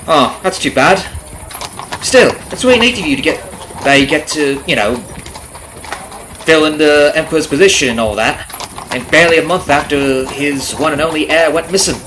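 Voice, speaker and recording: Casting: male actor as folksy, rambling carriage driver for ASMR roleplay, lightish pitch, friendly on top and sly beneath, horse noise behind. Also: normal speed, a bit bright, lightly acted, rough recording. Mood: gossipy, insinuating. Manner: folksy, rambling, nosy, hesitant with mock-innocent asides. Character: chatty, falsely friendly substitute carriage driver, slyly menacing. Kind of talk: ASMR roleplay audio drama, addressing the listener. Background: clip-clopping horse, carriage ambience.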